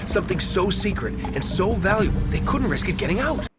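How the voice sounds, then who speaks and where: Eager, high-voiced adventurer. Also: male voice, very animated, fairly quick. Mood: intrigued. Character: eager male adventurer explaining a historical mystery. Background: loud music.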